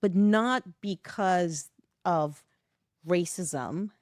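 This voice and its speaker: A middle-aged woman from West Africa, a podcast guest in a reflective conversation, telling animated stories, laughing often and acting out the voices she quotes. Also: on the low side, drawn-out words, dry recording, studio quality.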